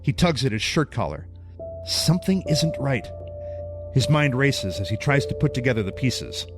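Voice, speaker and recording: A man's voice: medium pitch, sing-song, moderate pace. Male dramatic narrator over prominent music.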